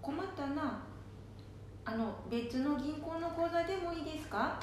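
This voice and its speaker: A woman acts out a chatty, excitable old grandmother in a homemade radio drama. Her voice is high-pitched, with a country Nanbu dialect. It rings in a very echoey room over faint noise. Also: measured pace. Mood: trusting, eager. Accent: Nanbu dialect, Japan. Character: gullible, stubborn, chatty elderly grandmother.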